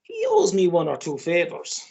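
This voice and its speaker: A woman's voice: on the low side, wildly swooping pitch, normal speed, creaky, a little mellow, exaggerated voice. A woman playing a hapless, flustered man who pleads and protests in a radio play. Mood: coaxing, placating.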